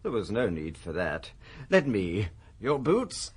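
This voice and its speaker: An actor playing a polite, fussy, reassuring gentleman in a radio drama. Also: on the low side, wildly swooping pitch, slow, husky, no echo, exaggerated voice. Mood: dismayed. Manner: genteel, polite, mildly fussy. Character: polite, fussy, reassuring gentleman passer-by, insomniac early walker.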